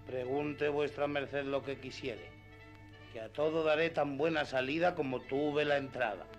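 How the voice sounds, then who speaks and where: A man's voice: mid-range voice, fairly even intonation, a little slow, highs cut off, natural voice. A man playing a simple, outspoken, good-hearted peasant squire in a radio drama, rustic and deferential yet cheeky. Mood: pleading. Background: faint background music.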